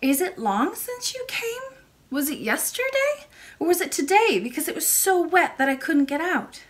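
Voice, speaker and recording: A woman's voice: very high-pitched, very animated, moderate pace, pitched above the performer's own voice. A woman voicing a curious, polite and innocent little princess in a storybook, with eager, childlike questioning. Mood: puzzled, curious.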